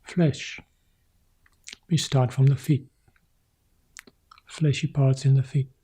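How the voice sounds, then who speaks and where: A man leading a guided meditation as a teacher, speaking calmly in short, sparse phrases with long pauses, in a soft, husky, breathy voice. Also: medium pitch, a little hiss or hum. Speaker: meditation teacher guiding a practice.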